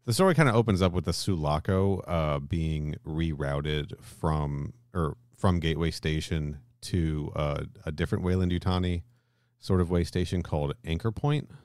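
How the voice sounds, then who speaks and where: Very deep-voiced man chatting on a podcast. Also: moderate pace, darkish tone, no room sound, studio quality. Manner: casual swearing, frequent check-in questions. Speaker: podcast co-host. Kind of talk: casual film discussion podcast.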